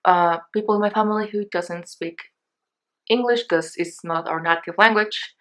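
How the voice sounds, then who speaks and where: A young Mexican woman talks through her shopping haul in English, which is not her first language, and her Mexican accent carries through. Her voice sits a little low for a woman. She rambles along casually, stopping often for fillers and restarts. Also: normal speed, a bit bright. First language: Spanish. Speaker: beauty vlogger.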